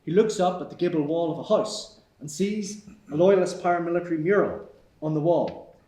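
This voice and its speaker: A roomy theatre recording of a man. He narrates stage directions from a screenplay. His voice is a little higher than most men's. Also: lively intonation, brisk, mellow. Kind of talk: scripted narration at live table read.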